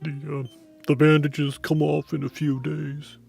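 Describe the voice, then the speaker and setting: A man doing a gravelly, halting doctor. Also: fairly high pitch, monotone, a little mellow, soft background music, full character voice. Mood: clinical. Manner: formal, clinical, halting. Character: hesitant hospital doctor, male, formal. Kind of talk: amateur audio drama acting.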